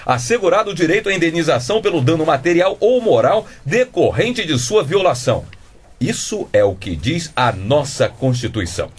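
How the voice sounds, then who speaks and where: Husky, pompous middle-aged father, very animated. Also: on the high side, normal speed. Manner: pompous, theatrical. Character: indignant, evasive middle-aged father and Portuguese teacher. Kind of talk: comedic radio drama acting.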